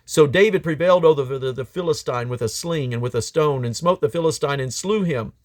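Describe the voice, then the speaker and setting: A man's voice: a little high, a little fast, a little mellow. A man reading scripture aloud as a Bible reader, in a solemn, emphatic storytelling manner.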